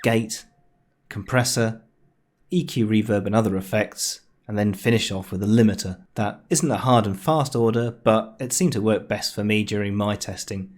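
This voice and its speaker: A man's voice: on the low side, moderate pace. A man narrating an informal screencast tutorial in a conversational, explanatory way with wry understatement. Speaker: tutorial host. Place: home room, voice-over recorded near computer.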